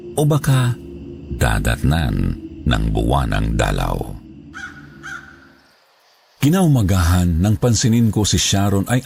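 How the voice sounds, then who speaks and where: A man's voice: sits low, vocal fry, noisy background. A man reading a scripted first-person horror story as its narrator, with music playing behind him. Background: background music.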